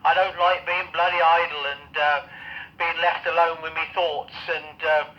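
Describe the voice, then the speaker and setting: An elderly man in his seventies with a high-pitched voice talks in a casual, rambling way, pausing to find his words. He is a radio amateur, and his voice comes through a dull, band-limited radio link. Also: level tone. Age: 70s. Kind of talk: casual two-way radio chat. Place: home radio shack in roof space.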